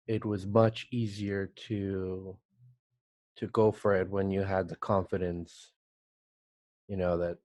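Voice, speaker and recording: Slow, low-voiced man from El Salvador. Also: darkish tone, no echo. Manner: halting, thoughtful, many pauses and restarts. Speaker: guest, art director. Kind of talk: casual podcast interview.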